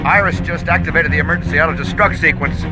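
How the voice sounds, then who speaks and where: A man's voice: a little high, fast talker, over loud music, close to an everyday voice. A man playing a dutiful communications officer aboard a space carrier in a video game. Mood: dutiful, tense. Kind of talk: video game cutscene acting.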